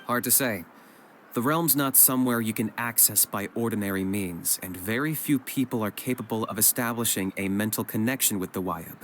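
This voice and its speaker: Man playing a low, calm, serious young warrior. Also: a little hiss or hum, close to an everyday voice. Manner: measured, matter-of-fact. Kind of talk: video game voice acting. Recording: music behind the voice.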